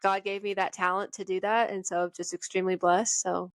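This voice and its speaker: A woman's voice: medium pitch, level tone, brisk, no room sound. Woman, earnest, hesitant, fillers, thoughtful, dry close sound. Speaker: band member and church worship team singer. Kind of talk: casual interview answers.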